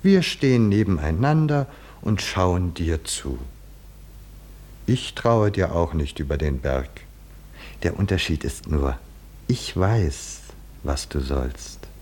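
An actor playing a stern, mocking mentor in a 1950s German radio play, husky and insistent in his admonishing. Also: low voice, expressive, slow pace, darkish tone, a little hiss or hum. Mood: probing, disdainful.

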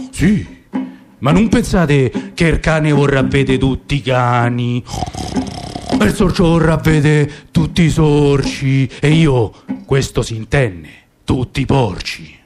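A man's voice: medium pitch, measured pace, background noise, voice pushed down, close to an everyday voice. A man voicing a smug, well-fed male pig, speaking in a Roman accent with a mocking, drawling way of making a speech.

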